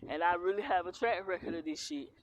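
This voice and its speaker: Woman, Southern accent, blunt and defiant, curses freely, tarot vlogger, clear noise behind her. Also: fairly low pitch, quick pace, low-quality recording. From Philadelphia, raised in the US South. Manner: blunt, heavy cursing, defiant. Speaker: tarot reader. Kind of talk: casual to-camera rant.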